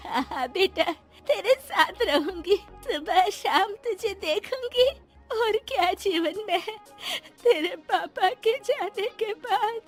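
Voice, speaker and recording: एक महिला हिंदी कहानी में बूढ़ी, विधवा, ममता भरी और भावुक माँ का किरदार निभा रही है, रुआँसे और मनुहार भरे लहजे में।. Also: female voice, very high voice, lively intonation, slow pace, faint music behind, pitched above the performer's own voice, exaggerated voice. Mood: tearful, yearning. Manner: tearful, pleading, tender. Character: elderly widowed mother, doting, emotional, anxious to please. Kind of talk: Hindi moral story dramatisation.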